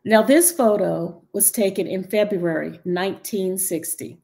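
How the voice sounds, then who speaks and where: A middle-aged woman from North Carolina giving a measured, earnest local history talk over a video call from her dining room. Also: mid-range voice, creaky, darkish tone. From Chapel Hill, North Carolina. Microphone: video call webcam.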